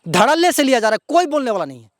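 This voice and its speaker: A male local news presenter with a Bihari accent and a very high-pitched voice. He speaks straight to viewers in outspoken commentary, indignant and emphatic, hammering points through repetition. The sound is dry and close. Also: big pitch swings, very fast, no room sound.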